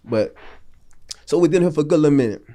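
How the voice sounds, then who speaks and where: A man's voice: fairly high pitch, animated, fast talker, warm tone. A man in his late thirties from North Florida, a podcast guest telling long stories in a loose, slangy, rambling way. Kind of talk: casual storytelling interview.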